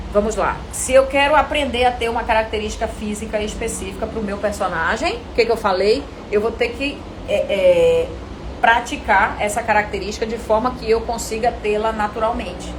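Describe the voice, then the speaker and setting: A woman with a Brazilian Portuguese accent coaching actors in an informal live lesson, animated and didactic, often asking questions and answering them herself. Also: fairly high pitch, normal speed, noisy background. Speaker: acting coach teaching a live online class.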